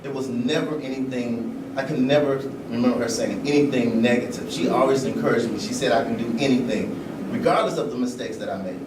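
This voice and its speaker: A man giving a personal account in an informal discussion, in short, halting phrases with pauses. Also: medium pitch. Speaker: panel speaker. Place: large room at a live event. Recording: roomy, distant mic, clear background noise.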